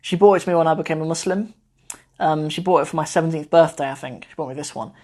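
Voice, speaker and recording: Young man, twenties, laid-back teacher on a livestream, rambling and soft-trailing, speaking into a phone mic. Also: on the high side, fast. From Cornwall, England. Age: 20s. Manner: chatty and meandering, often trailing off softly. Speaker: Arabic teacher hosting a live Q&A. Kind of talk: casual livestream chat.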